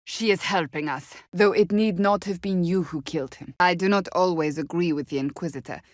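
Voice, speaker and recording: A woman performs a stern, devout warrior woman from a fantasy video game. Her voice sits on the low side, in a very dry recording. She speaks formally and bluntly, with righteous conviction. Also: fairly quick, dry recording, lightly acted. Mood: stern, earnest. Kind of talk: dramatic fantasy game acting.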